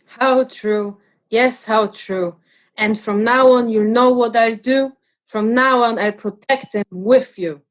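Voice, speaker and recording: Unhurried storybook kangaroo mother, haughty woman acting. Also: a little high. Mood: humbled. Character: haughty mother kangaroo and her small eager joey. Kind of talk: storybook character reading.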